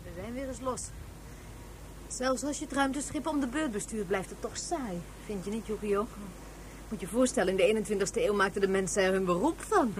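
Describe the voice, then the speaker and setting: A woman's voice: mid-range voice, brisk, slight background noise, lightly acted. A woman playing a bored, chatty mother aboard a spaceship in a Dutch science fiction radio play.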